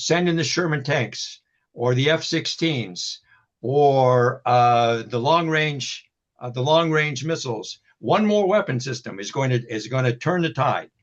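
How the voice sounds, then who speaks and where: Man lecturing on a dull call line. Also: mid-range voice. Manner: thoughtful, lecturing with many restarts. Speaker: historian and book co-author. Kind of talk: webinar discussion. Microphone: video call. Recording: dull lo-fi video call audio.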